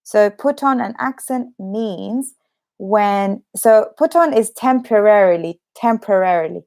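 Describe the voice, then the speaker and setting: A woman's voice: medium pitch, expressive, a little mellow. British woman teaching English, with a slight cough. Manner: chatty, explains slowly with repetition and many fillers. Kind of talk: live online English lesson.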